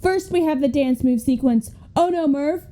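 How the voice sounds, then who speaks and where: A woman's voice: high voice, moderate pace, mellow, close to an everyday voice. A young woman playing an earnest, gushing female sports co-commentator in a comic radio play, speaking dramatically. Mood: grave, dramatic. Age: young adult. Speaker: student actor in a radio play.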